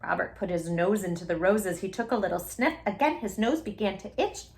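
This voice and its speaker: A woman's voice: medium pitch, animated, brisk, darkish tone. A woman hosting a children's storytime, reading expressively and talking effusively and directly to her young listeners. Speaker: children's storytime host and reader. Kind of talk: expressive picture-book read-aloud for young children.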